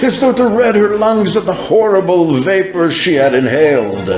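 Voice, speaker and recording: A man's slightly high voice rings with echo over music as he narrates an apocalyptic vision. He is solemn and theatrical, drawing out his phrases with very slow pacing. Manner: solemn, theatrical, drawn-out delivery. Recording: roomy echo under loud music.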